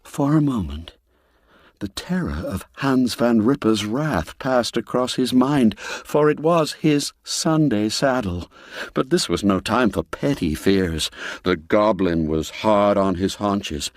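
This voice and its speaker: A man narrating an audiobook as dramatic storytelling, with measured, deliberate, suspenseful phrasing. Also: fairly low pitch, lively intonation, no room sound, clean studio sound. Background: music under the voice.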